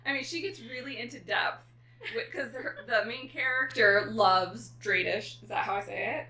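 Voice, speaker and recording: A woman's voice: fairly high pitch, moderate pace, bright, forceful tone. A woman hosting a casual book chat in an enthusiastic, gushing and giggly manner. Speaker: host interviewing an author. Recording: echoey room.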